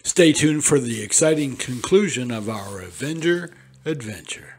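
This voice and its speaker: A man hosting a classic radio show in a relaxed, informal way. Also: medium pitch, normal speed, slightly rough. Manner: relaxed and informal, with small fillers. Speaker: podcast host.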